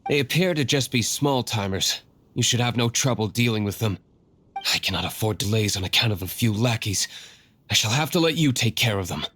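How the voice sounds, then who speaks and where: A man's voice: fairly low pitch, animated, brisk, a bit bright, husky. An actor playing a brooding, aloof young nobleman and secret vigilante in a video game, formal and curt. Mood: stern.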